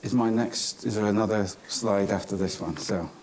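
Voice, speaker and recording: British male academic presenter, lowish pitch, hesitant semi-formal delivery, slight background noise at a conference. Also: normal speed, a little mellow. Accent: British English. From Cornwall, England. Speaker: professor giving conference closing remarks. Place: indoor business school conference venue. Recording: clearly noisy conference room recording.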